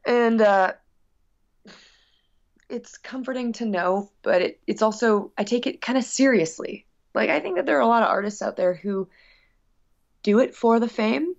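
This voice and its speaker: A woman's voice: a little high, normal speed, creaky, pauses often. A woman speaking earnestly and reflectively as a musician being interviewed, in casual conversation. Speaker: guest musician. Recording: dull, lo-fi sound.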